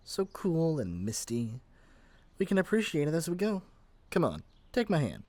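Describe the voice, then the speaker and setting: A man's voice: fairly high pitch, very animated, normal speed, a little mellow, a little hiss or hum. A man playing a lovesick young witch shopkeeper in a scripted ASMR roleplay, theatrical and coaxing in a playful way. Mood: smug, affectionate. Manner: theatrical, coaxing, playful, stammers when pleading.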